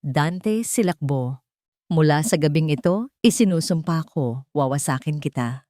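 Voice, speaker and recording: A woman's voice: low-pitched, animated, unhurried, mellow, no room sound, studio quality. A woman voicing an older male general in an audiobook, cold and commanding, with heavy, clipped, emotionless delivery. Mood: cold menace.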